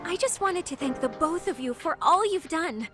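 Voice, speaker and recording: A woman's voice: very high voice, lively intonation, moderate pace. An actress playing a polite, naive, stubbornly upbeat young woman in an English-dubbed game cutscene. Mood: grateful. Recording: music behind the voice.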